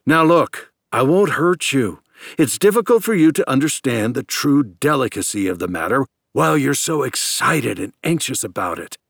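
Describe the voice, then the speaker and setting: A man's voice: medium pitch, lively intonation, moderate pace, gravelly, fairly bright tone, dry recording, clean studio sound, pitched above the performer's own voice. A man voicing an evasive, controlling captor in an audiobook, placating and patronizing. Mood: soothing. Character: evasive, controlling male captor, coolly placating, secretly menacing.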